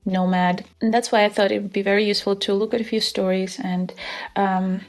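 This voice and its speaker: A woman from Armenia in her twenties, talking through an essay video in an earnest, measured way with soft laughs. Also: medium pitch, creaky. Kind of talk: scripted explainer with personal story.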